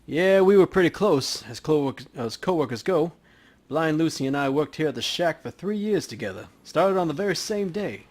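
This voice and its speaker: Man doing orc store employee, raised pitch, mournful, talkative, game character. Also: animated, normal speed, a little mellow. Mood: grieving, talkative. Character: grieving male orc convenience store worker, gruffly chatty.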